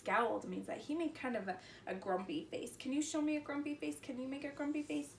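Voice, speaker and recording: A woman's voice: high-pitched, brisk. A woman hosting a preschool story time, talking to young children in a sing-song teacher's manner with simple questions. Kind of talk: children's lesson and read-aloud. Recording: roomy, distant mic with faint noise.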